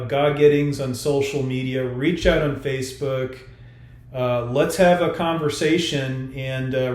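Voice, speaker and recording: A man's voice: mid-range voice, darkish tone. A man speaking as an author in a podcast interview, explaining his novel in a thoughtful way. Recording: roomy, echoey sound.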